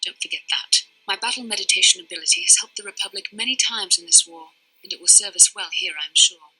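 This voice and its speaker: An actress playing a proud young Jedi commander in a video game, imperious, clipped and formal in manner. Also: female voice, lowish voice, fast talker, sharp tone, slightly rough, heavily acted, poor audio. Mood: haughty, reproachful. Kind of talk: dramatic video game voice acting.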